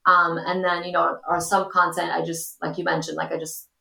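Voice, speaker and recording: A young woman talks in a low-pitched, gravelly voice, chatty and full of ums and you-knows. She speaks casually as a podcast guest, and her voice rings a little in an echoey room. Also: level tone, moderate pace, bright, forceful tone. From Portugal. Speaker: guest, influencer. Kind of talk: casual interview talk.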